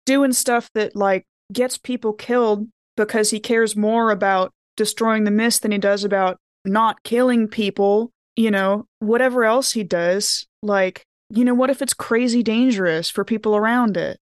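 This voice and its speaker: A woman playing an anxious, blunt investigator in a tabletop game, talking in halting, informal slang. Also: fairly high pitch, steady tone, clear, resonant tone, no echo, clean studio sound, lightly acted. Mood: worried. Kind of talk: improvised in-character tabletop dialogue.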